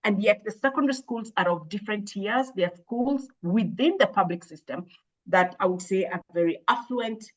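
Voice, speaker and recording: A woman, an education expert, answering interview questions in a measured, thoughtful way with frequent hesitations and restarts. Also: mid-range voice, dull audio. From Kenya. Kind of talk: explaining in an interview.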